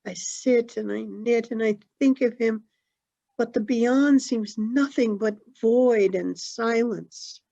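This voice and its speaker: A grieving, doubtful widow acted by a woman, high-voiced and mellow. She speaks earnestly and plaintively in a stage drama, over a dull, lo-fi recording. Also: takes it slowly, creaky, heavily acted. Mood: mournful, doubting. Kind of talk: one-act stage play performed on a video call.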